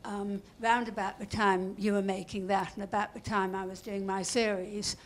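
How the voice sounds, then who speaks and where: A woman's voice: medium pitch, flat delivery, moderate pace. A woman in the audience asking a long, winding question at a panel discussion. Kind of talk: question from the floor.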